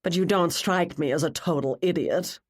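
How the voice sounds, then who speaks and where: A woman's voice: lowish voice, normal speed, slightly rough. A woman voicing a cruel, wealthy wife in an audiobook, mocking others with bored, drawling disdain. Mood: gloating.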